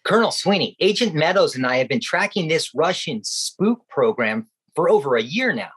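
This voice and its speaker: A man's voice: on the high side, sing-song, normal speed, fairly bright tone, slightly rough, full character voice. An actor playing a determined, eager male government agent in a radio play, speaking with clipped urgency. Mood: briefing. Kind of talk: comedic radio play acting.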